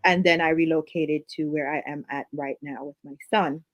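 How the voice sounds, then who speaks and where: A Jamaican woman with a voice sitting a little low talks through an interview in a relaxed, chatty way. She laughs easily and drifts into fillers between her thoughts. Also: normal speed, dry recording. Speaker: guest. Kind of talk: casual interview conversation.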